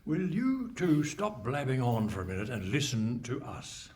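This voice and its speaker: Man as sardonic, exasperated narrator. Lively range, theatrical radio comedy, slightly noisy. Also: medium pitch, moderate pace, close to an everyday voice. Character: exasperated, mocking radio play announcer. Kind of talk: live comic radio play narration. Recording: slightly noisy live hall recording.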